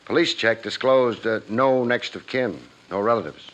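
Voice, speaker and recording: A man's voice: medium pitch, moderate pace, fairly bright tone, close to an everyday voice. A man playing a wry, hard-boiled private investigator in a 1950s radio drama, with a clipped tough-guy delivery. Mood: probing, wry. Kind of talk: 1950s radio detective drama acting and narration. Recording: muffled 1950s radio recording with music.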